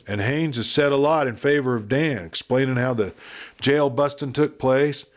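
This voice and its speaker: A man's voice: mid-range voice, normal speed, pitched above the performer's own voice, natural voice. A man voicing a blunt, grim cowboy in a western audiobook, with rustic frontier talk. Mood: grim explaining.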